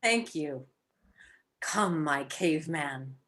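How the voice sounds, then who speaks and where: An actress as a clever young wife in a radio comedy mystery. Her female voice is bright and takes its time over the words. She is coy, teasing and affectionate. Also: deep voice, expressive, slow, heavily acted.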